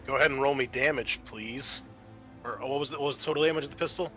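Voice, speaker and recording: A man's voice: mid-range voice, quick pace, pushed and bright, faint music behind. A man acting as game master, narrating and refereeing a tabletop roleplaying game.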